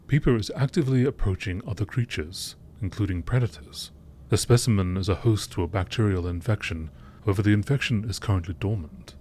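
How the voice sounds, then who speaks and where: A man narrating scripted science-fiction lore entries in a measured, formal documentary style. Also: low-pitched, darkish tone, slight background noise.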